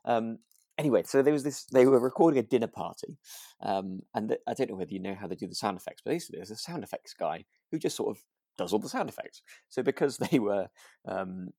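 A man's voice: on the low side, expressive, fast, warm tone, no room sound, clean studio sound. A British man co-hosting a podcast, chatting casually and telling stories in a self-deprecating, hesitant way. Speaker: podcast co-host. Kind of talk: casual anecdotal chat.

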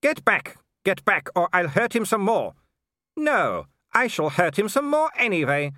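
A gleeful werewolf brute who mocks his enemies, voiced by a man, pitched well above a normal man's talk and pushed loud. He sounds strained and taunting, and the room around him is dry. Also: very animated, measured pace, no echo, full character voice. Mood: menacing. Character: gleeful brutish male werewolf fighter.

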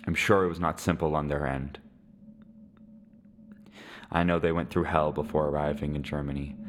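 A man playing a lonely, resigned Syrian widower in his seventies in a dramatic podcast monologue, halting and reflective. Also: rumbling low, flat delivery, slight background noise. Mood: sorrowful, reflective. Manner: slow, halting, reflective delivery with weighty pauses.

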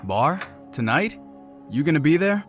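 An actor playing a pushy, needling best buddy of about thirty in an audio drama, brash and blunt in manner. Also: male voice, a little high, sing-song, unhurried, fairly bright tone, soft background music. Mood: dismissive. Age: around thirty.

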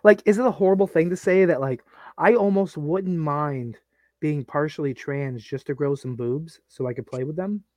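Podcast co-host, male, late thirties, slightly high voice, crude rambling jokester, dry close mic. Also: moderate pace, darkish tone, dry recording. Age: 30s. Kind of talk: casual crude banter.

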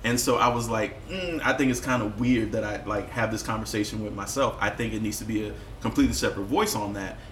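A man speaking as a musician guest in a candid podcast conversation, telling personal stories in a reflective, expressive way with casual swearing. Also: lowish voice, fast talker, hardly any pauses. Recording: roomy echo with faint background noise.

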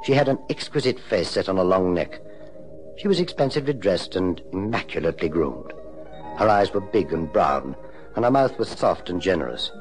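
Smooth private eye, old radio play, deep-ish, lively delivery, polite. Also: male voice, soft background music, poor audio. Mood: measured, dramatic. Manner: measured, polite storytelling. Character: suave male private investigator telling his case. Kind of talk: old-time radio drama narration.

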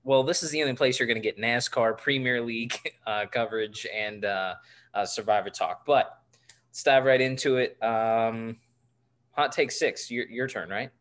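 A man's voice: mid-range voice, normal speed, fairly bright tone. A man in his twenties co-hosting a sports podcast, joking and rambling with lots of fillers. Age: 20s. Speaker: podcast co-host. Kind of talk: casual sports podcast chat.

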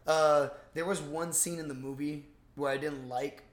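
A man's voice: on the high side, steady tone, moderate pace. A man co-hosting a casual movie review podcast, rambling and swearing freely as he chats. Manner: rambling, profane. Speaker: podcast co-host. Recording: roomy, echoey sound.

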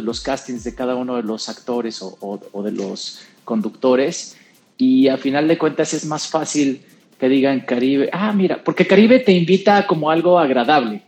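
A man's voice: mid-range voice, normal speed, a little hiss or hum. A middle-aged man from Costa Rica, talking casually as a guest who often laughs. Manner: chatty, relaxed, laughs often. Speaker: guest, actor and presenter. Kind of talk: casual conversation.

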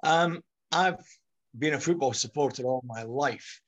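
A middle-aged man with a Scottish accent, speaking as a guest on a podcast discussion in a measured, articulate way. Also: mid-range voice, muffled recording. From Scotland.

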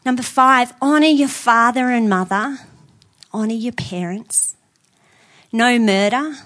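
A woman's voice: a little high, measured pace, a bit bright. A woman preaching a conversational sermon in a church, earnest, with self-deprecating asides. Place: church auditorium with screens.

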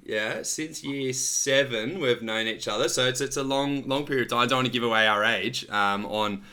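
A man's voice: mid-range voice, normal speed, sharp tone, clean tone. A man in his thirties hosting a podcast interview over a video call, enthusiastic and rambling, with lots of restarts. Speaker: podcast host. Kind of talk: friendly casual interview chat.

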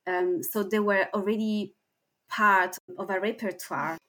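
A woman researcher on an academic panel, explaining her work in a halting way with frequent pauses and restarts. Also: medium pitch, fairly even intonation, sharp tone. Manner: hesitant, many fillers and restarts while explaining.

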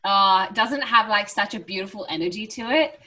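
A woman's voice: mid-range voice, fairly quick, bright, forceful tone, poor audio. A young woman hosting a podcast interview, bubbly and effusive, full of gushing praise for her guest. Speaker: podcast host. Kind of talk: casual interview.

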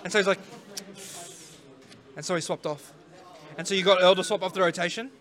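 A man's voice: high voice, fairly even intonation, a little fast, fairly bright tone. A man co-hosting a live podcast, telling gaming stories with swearing and laughter. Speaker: podcast co-host. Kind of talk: casual banter. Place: live event venue.

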